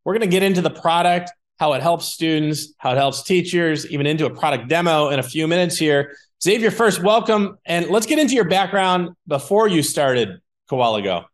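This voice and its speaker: A man's voice: fairly high pitch, lively intonation, normal speed, few pauses. Upbeat male podcast host. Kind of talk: casual interview hosting.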